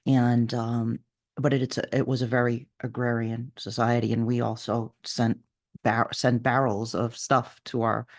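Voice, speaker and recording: A man's voice: medium pitch, little pitch movement, measured pace. An American man with a Portuguese-American family, hosting a podcast interview and speaking eagerly with stammering restarts. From USA, Portuguese-American family. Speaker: podcast host. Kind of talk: casual interview chat.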